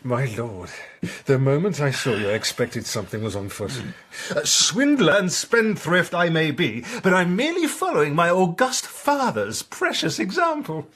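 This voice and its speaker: An actor playing a young English gentleman swindler, haughty and sardonically polite, in a radio drama. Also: a little high, big pitch swings, normal speed, slightly rough, exaggerated voice. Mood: cold defiance. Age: young adult. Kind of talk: British radio drama acting.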